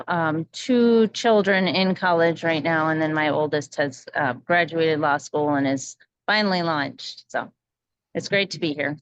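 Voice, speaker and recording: A woman's voice: on the low side, clean tone, no room sound. A middle-aged woman introducing herself casually as a volunteer on a group video call. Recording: slightly dull video-call audio.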